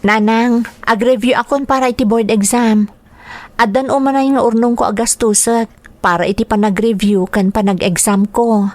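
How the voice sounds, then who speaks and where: A hopeful, dutiful young daughter acted by a woman in a radio drama. Her voice sits fairly high with a Filipino accent and earnest delivery, captured close and without room echo. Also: fairly even intonation, moderate pace, darkish tone, natural voice. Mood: earnest, encouraging. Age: young adult. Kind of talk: Ilocano radio drama acting.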